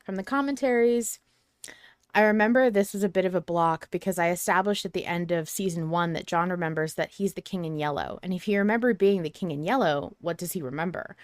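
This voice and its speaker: A woman with a fairly low voice talks casually as a podcast host discussing a story she follows. The sound is dry, with little room in it, and her manner is reflective and enthusiastic. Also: a little fast, no room sound.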